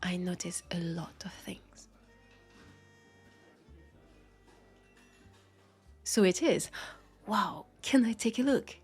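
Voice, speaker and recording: Husky French-accented woman as a vampire detective. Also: on the low side, lively intonation, darkish tone, faint music behind, full character voice. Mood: curious, playful. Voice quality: husky, creaky, breathy. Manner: unhurried, conversational, with pauses and sighs. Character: centuries-old female vampire detective, wry, playful, battle-weary. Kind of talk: intimate first-person audio roleplay.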